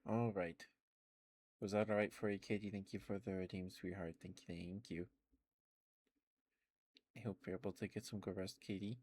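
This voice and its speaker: A man streaming ASMR, talking softly and reassuringly to his chat into a close microphone. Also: sits low, fairly even intonation, normal speed, long pauses, no echo. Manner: soft, gentle, reassuring. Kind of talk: whispered ASMR affirmations and casual stream chat. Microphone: close ASMR microphone with headphones.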